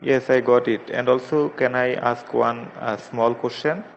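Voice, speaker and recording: Gravelly, flat-voiced man asking hesitantly. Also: mid-range voice, measured pace. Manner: hesitant, many fillers. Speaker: caller asking a question. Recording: dull lo-fi audio.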